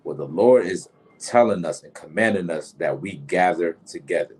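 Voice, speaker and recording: A man's voice: low-pitched, moderate pace, a little mellow, husky. A man leading a religious class, preaching in casual slangy talk and often tacking on "man". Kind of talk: street preaching lesson. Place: outdoors during a storm.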